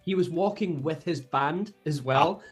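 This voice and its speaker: A man in a band chatting casually in an interview, relaxed and hesitant, often laughing as he tells stories. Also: a little high, a little slow, husky, soft background music. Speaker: band member, songwriter.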